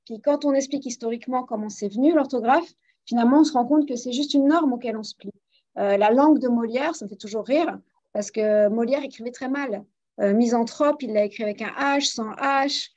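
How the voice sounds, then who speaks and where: A woman's voice: fairly high pitch, brisk, a little mellow. A woman who teaches French, speaking as a guest on a radio panel in an earnest, explanatory way with many fillers. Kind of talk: casual radio discussion. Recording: dull lo-fi radio audio.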